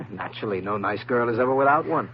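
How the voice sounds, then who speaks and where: A man's voice: mid-range voice, expressive, fairly quick, a little hiss or hum, lightly acted. An American man playing a wisecracking, cynical private eye in an old-time radio drama, with a slangy, sardonic delivery. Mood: tough, wry. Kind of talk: old-time radio detective acting and narration. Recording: dull vintage radio recording with music.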